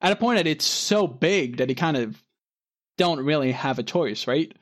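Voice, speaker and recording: A man's voice: on the high side, lively intonation, normal speed. A man co-hosting a podcast, chatting casually and rambling with lots of fillers and restarts. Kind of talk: casual chat.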